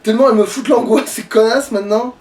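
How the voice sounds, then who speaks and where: A man's voice: extremely high pitch, steady tone, fast, a little mellow. A man playing a video game and commenting casually to a friend, sweary, grumbling and sarcastic. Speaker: let's player, playing the game. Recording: distant mic, game music behind.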